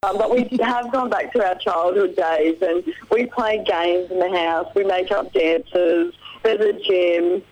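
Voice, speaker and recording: Deep-voiced giggly woman. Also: measured pace, a little mellow, poor audio. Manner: chatty, giggly, many ums. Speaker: reality show contestant guest. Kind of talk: radio interview chat.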